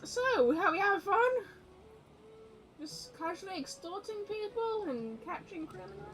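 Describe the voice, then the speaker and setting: High, bright, excitable woman livestreamer. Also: unhurried, low-quality recording. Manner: excitable, exclamatory, giggly. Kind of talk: casual game livestream reaction commentary. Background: game music.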